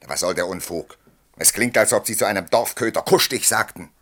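A man's voice: fairly low pitch, fairly quick, fairly bright tone. A man playing a self-assured, condescending husband in a German radio play, speaking in an ironic, articulate way. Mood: irritated.

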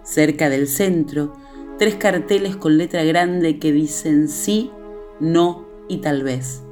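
A woman playing the grave, measured narrator of a séance in a radio play, with an Argentine accent. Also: low-pitched, darkish tone, soft background music.

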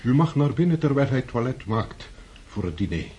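An actor playing a courteous, deferential old manservant in a radio drama, speaking formally. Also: male voice, mid-range voice, sing-song, moderate pace, a little mellow, breathy edge, no room sound, lo-fi sound. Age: older.

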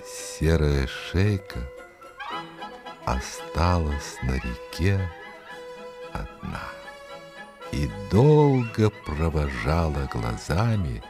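A man narrating a scripted fairy tale in an expressive, dramatic storytelling manner. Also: very deep voice, very slow, long pauses, music in the background.

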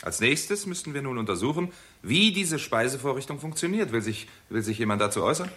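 Bright, forceful researcher. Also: male voice, medium pitch, big pitch swings, brisk. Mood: explanatory. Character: systematic male researcher summing up findings. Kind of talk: 1960s German radio play acting.

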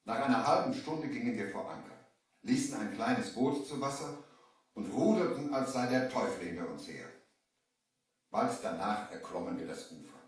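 A man's voice: medium pitch, animated, normal speed, distant mic, close to an everyday voice. An actor playing an earnest merchant from Baghdad who narrates his adventure in a dramatic vintage audio play. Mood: grave narration. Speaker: narrator and lead character. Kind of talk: scripted storytelling, 1970 audio drama. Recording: echoey vintage LP, off the mic.

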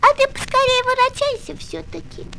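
A woman's voice: extremely high pitch, animated, normal speed, gravelly, bright, forceful tone, a little hiss or hum, heavily acted. A woman playing a plucky, sensible little peasant girl in a dramatised folk tale, with artless, earnest speech. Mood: confident. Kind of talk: dramatised fairy-tale storytelling.

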